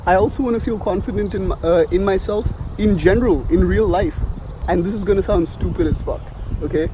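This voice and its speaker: A man's voice: sits high, fairly quick, background noise. A young man in his twenties from India with a light Indian accent, giving rambling casual advice outdoors, full of fillers and restarts. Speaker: vlogger. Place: outdoors on a sunny street.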